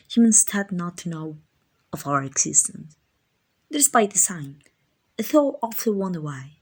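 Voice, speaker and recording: A woman voicing a gentle, doting, slightly clumsy guardian angel in a soft-spoken ASMR comfort roleplay, affectionate, hesitant and apologetic. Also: low voice, husky, full character voice. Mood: gentle, earnest.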